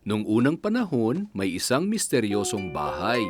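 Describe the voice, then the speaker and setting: A man playing a patient parent in a children's cartoon, telling bedtime stories with an expressive storybook delivery. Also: lowish voice, normal speed. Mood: storytelling. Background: music.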